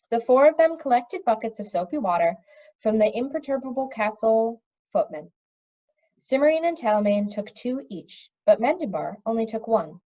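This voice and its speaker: A woman reading a fantasy story aloud in an expressive storytelling manner. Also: on the high side, lo-fi sound. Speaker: audiobook reader. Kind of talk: reading a children's fantasy novel aloud with character voices.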